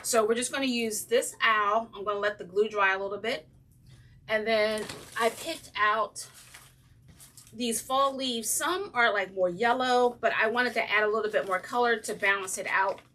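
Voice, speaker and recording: A woman with a slightly raspy voice hosting a casual crafting livestream, chatting with viewers and saying um often. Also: on the high side, normal speed, sharp tone, recorded from a distance, a little hiss or hum. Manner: chatty, greets viewers by name, frequent ums. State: slightly raspy from breathing trouble. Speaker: craft channel host.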